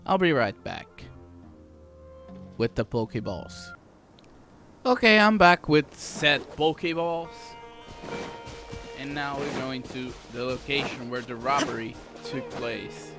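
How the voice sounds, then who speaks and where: A man giving casual gameplay commentary as a streamer, in short, relaxed-paced remarks. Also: medium pitch, slow, pauses often. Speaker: gaming streamer. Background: loud game music.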